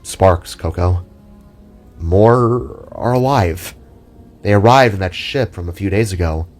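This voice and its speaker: A wry and loyal hero , played by a man, talks in a low, reassuring voice. His delivery is dry and brief, set to music in an audio drama. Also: slow. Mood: serious. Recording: music under the voice.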